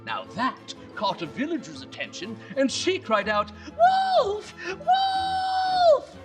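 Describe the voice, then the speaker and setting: A woman hosting and reading children's bedtime stories in an animated, theatrical way with dramatic pauses. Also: mid-range voice, far from the mic, over music. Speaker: children's bedtime storyteller and host. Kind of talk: animated scripted storytelling for children.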